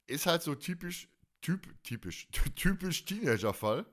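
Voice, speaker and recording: A man in his forties from Germany chatting casually as a podcast host, in an animated, rambling, colloquial way. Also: fairly high pitch, unhurried. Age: 40s. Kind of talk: casual chat.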